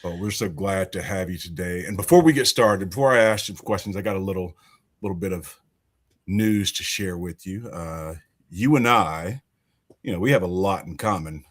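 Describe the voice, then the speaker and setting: A man from Alabama hosts a podcast in a deep, low voice. He speaks emphatically in a loose, conversational way and sometimes restarts his sentences. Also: moderate pace. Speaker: podcast host. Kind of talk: podcast hosting and interviewing.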